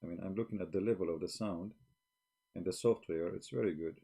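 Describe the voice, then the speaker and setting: A man's voice: low-pitched, a little fast, vocal fry, dark tone, slightly rough. A man hosting a livestream, arguing with rhetorical questions and speaking in non-native grammar into a desk microphone. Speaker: livestream host debating religion. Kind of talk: argumentative livestream talk.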